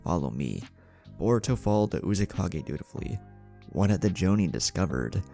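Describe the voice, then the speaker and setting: Male storyteller, low mellow voice, lively intonation, dramatic scripted narration, music underneath. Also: brisk. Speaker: fan fiction narrator.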